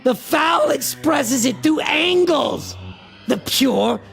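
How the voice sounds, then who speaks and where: A shrill, creaky-voiced frantic occultist. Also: male voice, lively intonation, a bit bright, shouting, soft background music, exaggerated voice. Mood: fevered intensity. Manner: theatrical, grandiose, drawn-out shrieked declamation. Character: frantic obsessed male occultist raving about cosmic horrors. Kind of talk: melodramatic horror radio theater acting.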